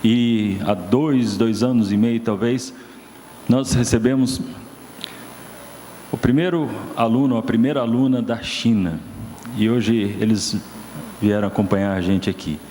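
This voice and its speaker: A man's voice: on the low side, steady tone, unhurried, mellow. A male pastor delivers a sermon in a church, his voice carrying a long hall reverb over a faint background hiss. He builds his points with rising, emphatic questions before calling a guest up to talk. The recording has the open, echoing feel of a sanctuary. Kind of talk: sermon and stage interview.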